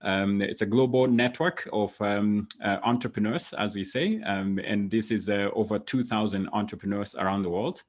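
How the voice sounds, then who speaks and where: Husky low-voiced Kenyan man. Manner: measured, many "um" fillers. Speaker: panelist. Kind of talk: panel discussion.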